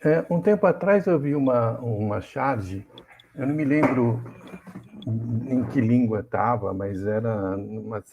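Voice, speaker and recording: A man, a professor, haltingly begins a question in an online seminar, searching for his words. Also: medium pitch, a little mellow, slight background noise. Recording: phone-like line.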